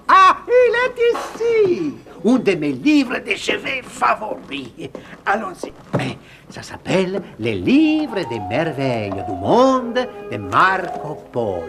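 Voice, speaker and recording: An actor voicing an excitable, scatterbrained male explorer who thinks himself a genius, in a theatrical cartoon manner. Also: mid-range voice, full character voice. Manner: theatrical, swooping from muttering to exclaiming. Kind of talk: animated cartoon dub.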